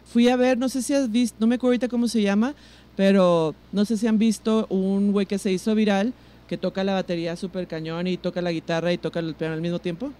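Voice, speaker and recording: A woman's voice: mid-range voice, steady tone, quick pace, mellow. Slangy Mexican woman telling anecdotes. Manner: informal, slangy. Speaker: musician, podcast guest. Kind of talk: casual chat, telling anecdotes.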